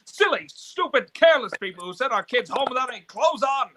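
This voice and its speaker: A man's voice: extremely high pitch, lively intonation, brisk, pushed and bright, full character voice. A man playing an agitated, irritable father and husband in a radio play reading, with a stammering, emphatic delivery. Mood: bitter, irritable.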